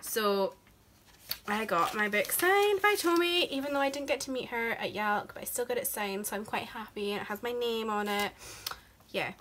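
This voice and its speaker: A woman's voice: on the high side, expressive, normal speed, a bit bright, a little hiss or hum. A young woman, chatting to camera as a book vlogger. She has a cold and sounds nasal, and she rambles with lots of fillers. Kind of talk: casual chat to camera.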